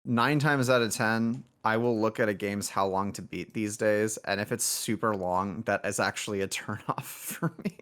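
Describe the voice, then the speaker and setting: Halting, low-voiced man chatting in an interview. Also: steady tone, fairly bright tone. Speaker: indie game developer, podcast guest. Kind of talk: casual interview chat.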